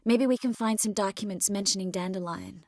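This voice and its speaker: An earnest, quick-witted young sorceress from a fantasy video game acted by a woman. She speaks with tenderness at a middling pitch, in dramatic dialogue. The sound is dry and close, free of any room. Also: a little mellow, no echo, lightly acted.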